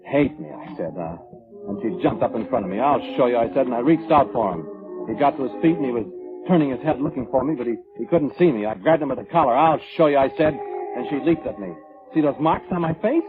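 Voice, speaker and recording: A man's voice: medium pitch, lively intonation, quick pace, lightly acted. A man acting in a 1940s radio drama, playing a violent, self-justifying man who defensively recounts his grudge. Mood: heated. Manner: defensive, blustering. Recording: muffled old radio broadcast, music underneath.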